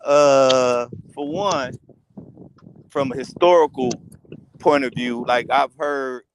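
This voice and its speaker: Southern male caller, gravelly, halting and defensive. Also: mid-range voice, fairly even intonation, slight background noise, rough recording. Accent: Southern American. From Alabama. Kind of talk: casual call-in debate.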